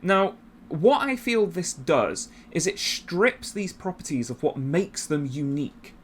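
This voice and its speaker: Higher-voiced man, casual YouTube commentary. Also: big pitch swings, measured pace, a little hiss or hum. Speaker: YouTuber, channel host. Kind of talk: casual video essay commentary.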